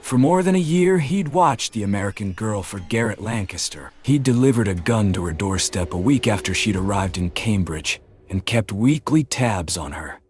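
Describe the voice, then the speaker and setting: A man narrating a mystery audiobook in a measured storytelling way. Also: fairly low pitch, animated. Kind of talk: scripted narration.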